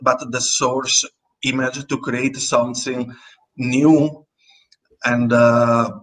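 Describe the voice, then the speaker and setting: An Italian man speaks English with a clear Italian accent, his voice sitting at an ordinary middle pitch. He chats casually as a guest artist. He talks hesitantly, pausing on fillers and restarting his sentences as he goes. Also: little pitch movement, a bit bright, rough recording. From Italy. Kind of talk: casual interview chat.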